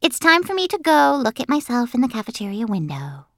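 Woman acting a spoiled little rich girl, high and swooping, gushing, boastful, drawn-out words, children's storybook. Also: wildly swooping pitch, fairly bright tone, no echo, studio quality, heavily acted. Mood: gushing, smug. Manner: showy, drawn-out, gushing delivery. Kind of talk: children's audiobook character voice.

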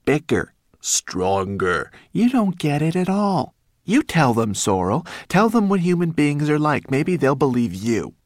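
A man voicing a small female rat in a storybook, shrill, sarcastic and scolding. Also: sing-song, normal speed, hardly any pauses, dry recording, clean studio sound. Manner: emphatic, scolding.

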